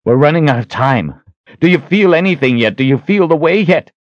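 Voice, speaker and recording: A man's voice: medium pitch, expressive, normal speed, darkish tone, dry recording, muffled recording, pitched above the performer's own voice, lightly acted. A man playing an urgent, determined hero of a fantasy audiobook, intense and insistent in manner.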